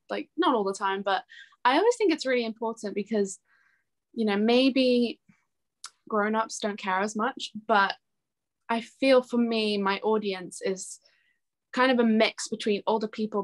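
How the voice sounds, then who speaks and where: A young woman speaks as an interview guest in a casual, chatty way. She sounds earnest and open, with a medium pitch and an easy conversational flow. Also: a bit bright. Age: young adult. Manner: chatty, earnest, laughs easily. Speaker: interview guest, singer-songwriter.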